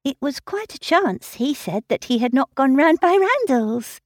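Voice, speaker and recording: A woman's voice: high-pitched, sing-song, measured pace, dry recording, studio quality, voice pushed up. A woman reading a gushing, fluttery young girl. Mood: excited. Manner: breathless and gushing. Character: eager, fluttery young woman, easily impressed.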